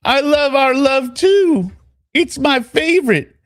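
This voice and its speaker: A man voicing a lovelorn, dramatic lover with a put-on Southern accent and a drawn-out, pleading delivery. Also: very high voice, expressive, no echo, studio quality, pitched above the performer's own voice, exaggerated voice. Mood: tender, adoring.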